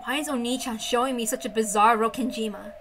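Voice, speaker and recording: A woman's voice: a little high, steady tone, quick pace, background noise, close to an everyday voice. A woman reading the brooding, intense monologue of a bitter, weary young woman obsessed with revenge in a visual novel. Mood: frustrated, defiant.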